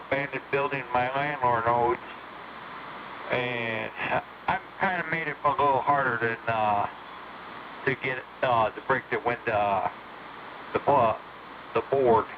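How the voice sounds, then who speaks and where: Clear static surrounds an American man talking on CB radio, his sound dulled and lo-fi. He chats casually and plainly, halting and repeating himself as he goes. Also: medium pitch, steady tone. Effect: CB single-sideband radio. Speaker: amateur CB radio operator. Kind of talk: casual local-news chat.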